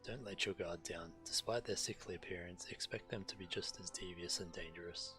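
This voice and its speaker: A man's voice: low voice, brisk, music in the background. A man streaming a game, casually commenting and reading quest text aloud.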